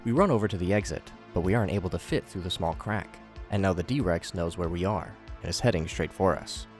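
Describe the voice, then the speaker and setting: A man's voice: deep voice, fairly quick, soft background music. A man narrating a scripted recap with commentary, adding wry, joking asides.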